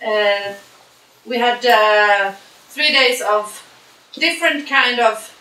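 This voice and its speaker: A woman's voice: on the high side, takes it slowly, bright, forceful tone. A woman in her forties with a Swedish accent, speaking to camera about a clinic she organized, with hesitant phrasing and frequent restarts. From Sweden. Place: large barn with sheep, indoors. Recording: echoey barn with faint hiss.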